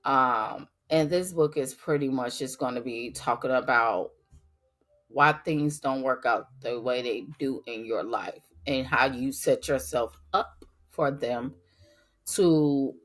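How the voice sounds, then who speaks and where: Podcast host, middle-aged woman, low voice, raspy from a cold, earnest and emphatic, casual faith talk. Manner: earnest, emphatic, talks straight to listeners.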